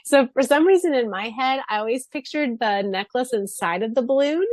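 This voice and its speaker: A woman's voice: a little high, lively intonation, a little fast, darkish tone, no room sound. Gushing woman podcaster, very dry sound. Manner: gushing, giggly. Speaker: podcast co-host. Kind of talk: casual chat about a fan fiction.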